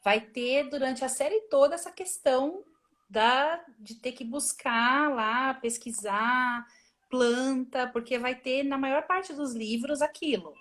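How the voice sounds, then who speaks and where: Chatty higher-pitched woman on a video call. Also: slow, fairly bright tone. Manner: chatty, thinks aloud with many restarts. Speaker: translator and reviser, guest. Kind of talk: casual interview over a live stream. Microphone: phone or laptop mic on a video call.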